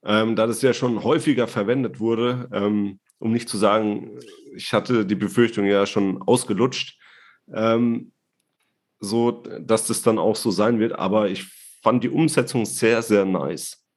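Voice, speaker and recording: A man's voice: on the low side, fairly even intonation, unhurried. A man co-hosting a casual rap review chat, laid-back and slangy with many hesitations. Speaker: podcast co-host reviewing rap releases.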